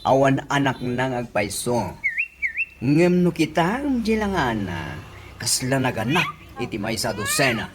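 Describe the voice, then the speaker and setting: Animated scolding older father, acted by a man. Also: medium pitch, unhurried, heavy background noise. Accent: Ilocano. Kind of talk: comedy radio drama acting.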